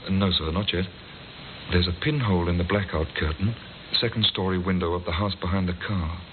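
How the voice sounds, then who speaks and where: Male actor as obedient constable, low and matter-of-fact, deferential; vintage radio drama with clear hiss. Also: fairly quick, close to an everyday voice. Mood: steady. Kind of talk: old-time radio drama acting. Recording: tinny, hissy old radio recording.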